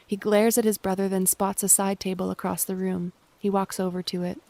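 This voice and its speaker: Even-toned woman narrator. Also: mid-range voice, a little fast, creaky, dry recording. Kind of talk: scripted narration of stage directions.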